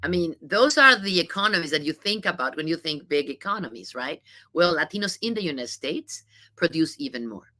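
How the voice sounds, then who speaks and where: A woman's voice: low-pitched, expressive, fairly quick, sharp tone. A middle-aged woman from Mexico with a Mexican Spanish accent, giving an informal talk full of statistics, stressing her points and often adding "you know" and "right?". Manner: emphatic, repeats key points, frequent "you know" and "right?". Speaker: think tank CEO speaker. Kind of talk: informal presentation with data.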